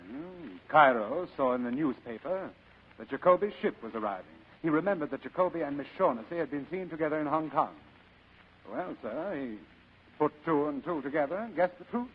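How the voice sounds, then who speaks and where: An actor playing a courtly, scheming middle-aged criminal mastermind in 1940s radio style, speaking in florid, formally polite phrases. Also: male voice, fairly high pitch, lively intonation, a little fast, husky. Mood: suave, candid. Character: courtly, scheming older male criminal mastermind, the Fat Man. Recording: muffled vintage radio recording.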